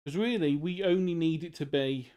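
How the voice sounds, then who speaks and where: A man's voice: on the high side, normal speed, darkish tone, smooth. A young man casually explaining drawing on a live stream, in rambling, loose sentences full of fillers.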